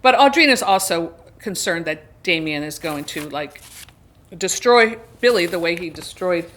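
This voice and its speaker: A woman's voice: fairly low pitch, animated, measured pace, a bit bright. A woman casually retelling a story as a book reviewer, chatty and wryly amused, leaning on key words. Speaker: book reviewer and video host.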